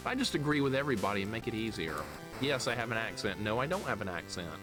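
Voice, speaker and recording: A man's voice: fairly low pitch, lively intonation, fast talker. An American man in his forties from the southern US, chatting casually with viewers and grumbling in sweary, joking exasperation as he plays a video game. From southern United States. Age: 40s. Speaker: live streamer playing a video game. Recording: game music behind the voice. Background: retro video game music.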